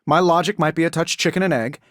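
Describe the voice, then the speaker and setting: A man's voice: a little high, quick pace, no echo, studio quality, lightly acted. A male actor playing a cocky billionaire inventor superhero in powered armor for a video game, glib, sarcastic and self-assured. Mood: wry, confident.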